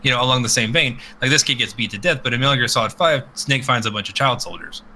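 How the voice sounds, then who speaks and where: A man's voice: mid-range voice, fast talker, bright, forceful tone. A man chatting casually as a podcast host, blunt and profane, rambling through his opinions. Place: live multistreamed podcast.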